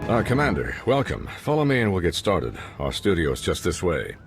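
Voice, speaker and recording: A sci-fi game news anchor. He has a low voice with animated intonation and a formal, smooth presenter's delivery over music. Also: normal speed. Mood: courteous. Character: polished male news host of a space republic. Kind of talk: broadcast interview acting in a video game.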